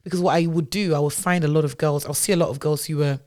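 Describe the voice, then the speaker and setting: A woman's voice: low-pitched, steady tone, quick pace, darkish tone. Nigerian-raised woman telling her story. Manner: chatty, many fillers and restarts. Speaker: podcast guest, tech founder. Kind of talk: casual interview storytelling.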